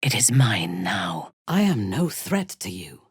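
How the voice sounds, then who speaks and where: A proud, imperious female dark elf war leader for a fantasy video game. Her voice is breathy and husky, recorded close and dry. She speaks slowly and formally, with wide, expressive swings of pitch and cold disdain. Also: no room sound, heavily acted. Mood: low, intense. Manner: measured, haughty, formal diction. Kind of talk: video game character dialogue.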